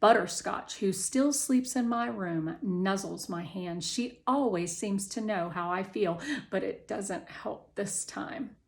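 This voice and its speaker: A woman reading a children's novel aloud with expressive, deliberate storytelling phrasing. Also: medium pitch, vocal fry. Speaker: audiobook reader.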